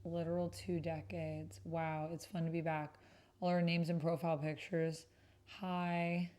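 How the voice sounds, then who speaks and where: Lower-voiced woman chatting casually on stream. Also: little pitch movement, unhurried, a little mellow. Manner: chatty, musing to herself. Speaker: streamer playing a solo tabletop roleplay game. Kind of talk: casual livestream chat, thinking aloud.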